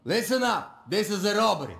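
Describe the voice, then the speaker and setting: A menacing young bank robber for a game. He speaks bluntly in a raised, gravelly voice with roomy echo. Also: sits high, big pitch swings, brisk, full character voice. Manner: blunt, forceful.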